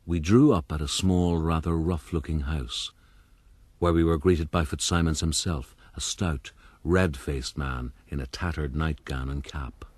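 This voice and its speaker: Rogue narrator, low voice, dry studio sound, formal, wry, scripted storytelling. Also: male voice, fairly even intonation, a little slow, darkish tone, no room sound. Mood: wry, reflective. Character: urbane Irish rogue recounting his youth. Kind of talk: scripted first-person radio drama narration.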